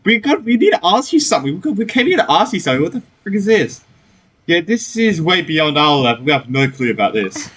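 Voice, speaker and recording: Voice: mid-range voice, big pitch swings, a little fast, a bit bright, slightly rough. A gamer giving casual let's play commentary, muttering amused reactions. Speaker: gaming YouTuber.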